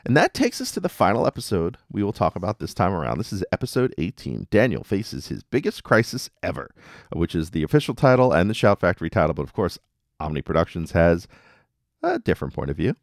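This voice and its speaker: A man's voice: deep voice, big pitch swings, fairly quick, vocal fry, no echo. A man hosting a podcast, giving a casual episode recap with wry, dry asides. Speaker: podcast host.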